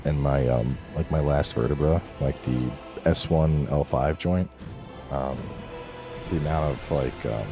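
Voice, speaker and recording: A man's voice: a bass voice, a little slow, music in the background. A man in his thirties chatting casually as a gaming streamer, blunt and sweary, given to ribbing. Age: 30s. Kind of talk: casual chat, banter.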